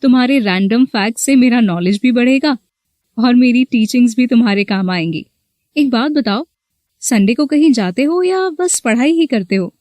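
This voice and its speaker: Casting: actress for manipulative, flirty married woman teacher, possessive, higher-pitched voice, scripted story dialogue, dry studio sound. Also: lively intonation, normal speed, darkish tone, no room sound, lightly acted. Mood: friendly, coaxing.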